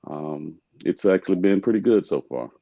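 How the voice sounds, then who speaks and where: A man's voice: low voice, lively intonation, normal speed, gravelly, dry recording. A man in his forties from Texas, talking casually as a guest in a polite, hesitant way on a muffled microphone. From Texas, USA. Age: 40s. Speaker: show guest, retired soldier. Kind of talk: casual self-introduction.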